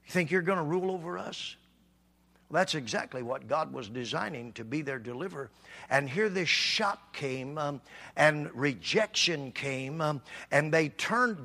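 A man preaching a sermon, swinging from quiet talk to loud, shouted passages. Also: on the high side. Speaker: pastor.

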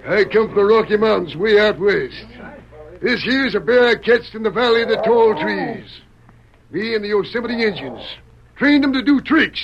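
A man with an American accent playing a blustering, cruel mountain bear-trainer with rustic speech and a gravelly voice in an old radio western. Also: high voice, lively intonation, moderate pace. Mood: hawking, jovial. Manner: rustic, folksy showman patter, blustering. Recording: dull old radio recording with crowd.

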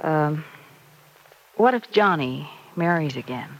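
An actress playing a patient, gently probing middle-aged mother in an old-time radio serial, speaking in a measured, coaxing manner. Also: sits low, animated, exaggerated voice, low-quality recording. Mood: reflective, tender. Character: gentle, probing mother advising her grown daughter.

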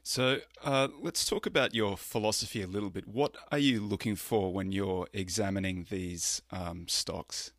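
A man hosting a podcast, introducing his guest and asking brisk, direct questions. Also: lowish voice, steady tone, a bit bright, no echo, studio quality. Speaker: podcast host. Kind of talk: podcast interview.

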